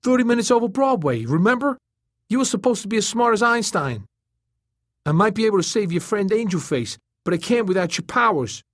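Guy doing an eager armored superhero, loud n pushy, pitched up high, earnest, audiobook style. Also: lively intonation, a little fast, no echo. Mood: urgent, pleading. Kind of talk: audiobook character acting.

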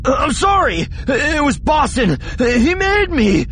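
A man's voice: sits high, animated, brisk, pushed and bright, slight background noise, voice pushed up, exaggerated voice. A man voicing a cowardly small-time crook in an audiobook, whining, stammering and begging. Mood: terrified, begging. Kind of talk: audiobook character acting.

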